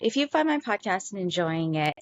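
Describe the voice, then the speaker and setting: A woman's voice: medium pitch, sing-song, brisk, dry recording. A woman hosting a podcast, reading her show's intro in an upbeat, promotional way. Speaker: podcast host. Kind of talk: scripted show intro. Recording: dull lo-fi audio.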